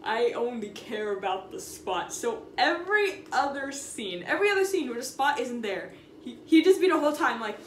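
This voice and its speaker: Animated, high-voiced young woman telling stories. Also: moderate pace. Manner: expressive, slangy, dramatic emphasis and repetition. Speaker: storytime video host. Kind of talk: casual animated storytelling vlog. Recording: echoey room with audible background noise.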